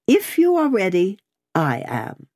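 A woman voicing a brisk, opinionated, loyal female servant in a storybook, with an emphatic, bossy but fond manner. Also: medium pitch, huge pitch swings, darkish tone, dry recording, clean studio sound, pitched above the performer's own voice, exaggerated voice.

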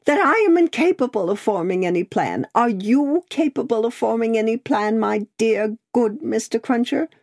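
A woman's voice: on the low side, big pitch swings, moderate pace, a little mellow, voice pushed up. A woman voicing an agitated, prim and devoted Englishwoman in a storybook reading, urgent and tearful. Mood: tearful, distracted. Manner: urgent, exclaiming, prim and formal.

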